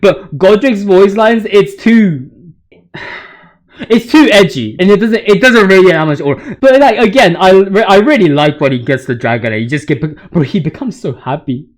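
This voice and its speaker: A man with a high, very lively voice talks through his opinions on a video game. His delivery is casual and full of slang, with exaggerated, joking outrage. He swears freely as he goes. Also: normal speed. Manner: ranting, hyperbolic, mock-outraged joking. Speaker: video game commentator ranking boss voice lines.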